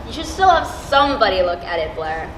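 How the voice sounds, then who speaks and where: A woman playing a frank, supportive high school best friend in an amateur stage play. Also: big pitch swings, a little fast, fairly bright tone. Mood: frank, friendly. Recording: reverberant hall with faint noise.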